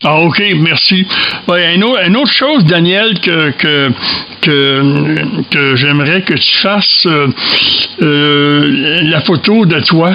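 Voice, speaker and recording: A man asking questions in casual, halting radio chat. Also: a little high, highs cut off.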